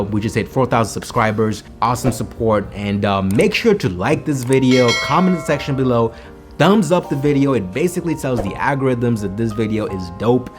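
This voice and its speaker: A Kenyan-accented man talks quickly and with energy to his camera, giving motivational business tips. His pitch sits in a middle range. Faint music plays behind his voice. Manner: energetic, repeats himself, says "right?" to the viewers. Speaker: vlogger. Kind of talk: motivational business vlog talk. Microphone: camera mic on a home video set.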